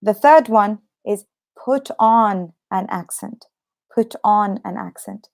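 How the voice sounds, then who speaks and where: A young British woman in her twenties or thirties teaching a live English lesson. She has a slight cough and explains slowly and chattily, repeating her points and filling pauses with "um". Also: medium pitch, creaky, darkish tone. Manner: chatty, explains slowly with repetition and many fillers.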